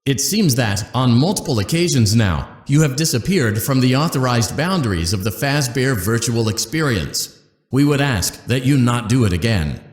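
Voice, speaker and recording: A man's voice: mid-range voice, moderate pace, roomy sound, close to an everyday voice. A man as a chipper corporate game announcer. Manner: upbeat corporate spiel with deadpan legal asides. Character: cheerful corporate VR announcer, evasive and falsely reassuring.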